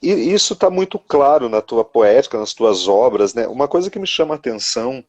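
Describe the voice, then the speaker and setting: A Brazilian man hosts a live chat over a video call, his voice at an ordinary middle pitch. He asks friendly questions haltingly and restarts his phrases. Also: animated, a little mellow, no room sound. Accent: Brazilian Portuguese. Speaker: host, professor. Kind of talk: live online interview.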